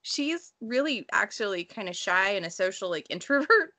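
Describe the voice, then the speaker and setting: A woman talking casually and bluntly in an informal book discussion, telling stories in an animated way. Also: medium pitch, moderate pace. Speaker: book read-along panelist. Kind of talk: casual discussion, personal anecdotes.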